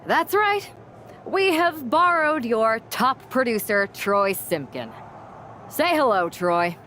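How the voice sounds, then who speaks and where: Bold, brash woman wrestler, animated delivery. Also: a little high, slow, slight background noise, full character voice. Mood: taunting. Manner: punchy, confrontational. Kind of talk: video game cutscene acting. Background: music.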